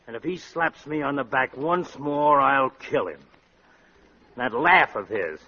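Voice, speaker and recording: A man's voice: mid-range voice, lively intonation, unhurried, sharp tone, slightly rough, heavily acted. An actor playing a grumpy, put-upon middle-aged father who complains irritably, in old-time radio comedy style. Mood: grumbling. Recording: muffled old radio broadcast recording.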